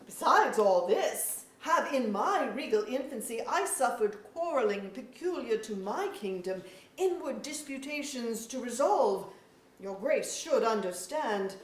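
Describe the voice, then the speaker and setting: A woman's voice: on the high side, animated, measured pace. A woman playing a proud, weary fairy king in a staged reading of a verse drama, declaiming formally. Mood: grave, aggrieved. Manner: formal, declamatory, archaic phrasing. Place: museum auditorium stage. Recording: echoey auditorium, recorded from far off.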